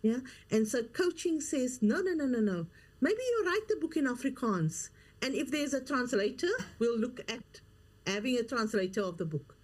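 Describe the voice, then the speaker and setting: A woman's voice: on the high side, lively intonation, normal speed. A middle-aged woman, a coach and author speaking as an interview guest, earnest and emphatic, often repeating her key phrases. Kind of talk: interview conversation.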